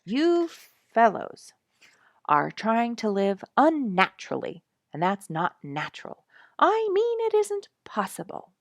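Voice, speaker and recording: A cocky young porcupine played by a woman holds forth like a storybook lecturer. The delivery is folksy and blunt, swinging widely in pitch and landing hard on key words. The sound is close and dry. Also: dry recording. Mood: scolding, emphatic. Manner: folksy, emphatic, preaching bluntly. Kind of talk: storybook character speech.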